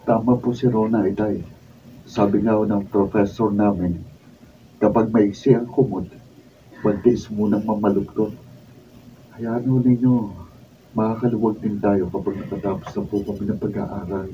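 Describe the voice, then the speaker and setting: An actor playing a respectful, sensible student son in a Filipino radio drama. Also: male voice, on the low side, fairly even intonation, normal speed, long pauses, warm tone, lightly acted. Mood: earnest, protective. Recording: distant mic, faint noise.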